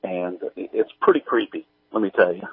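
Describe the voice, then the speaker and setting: A man speaking as an interview guest, chatting casually in a halting, folksy, informal way. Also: low voice, creaky, husky, no room sound. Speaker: guest, audio drama creator. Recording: tinny, phone-like band-limited line.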